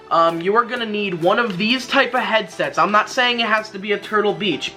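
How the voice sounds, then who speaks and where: A young man talks through a casual tutorial in a bright, forward voice that sits a little high. He rambles, doubling back to rephrase his points. Light music plays behind him. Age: young adult. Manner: rambling, repeats and rephrases himself. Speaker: YouTuber, tutorial host. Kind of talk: casual tutorial talk to camera. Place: small bedroom gaming setup, indoors. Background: background music.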